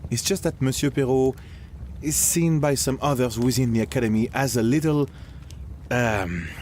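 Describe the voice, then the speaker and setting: A man's voice: medium pitch, moderate pace, a little mellow, slight background noise, lightly acted. A man playing a courteous 1700s Frenchman in an audio drama, speaking politely and formally. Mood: tactful, hesitant.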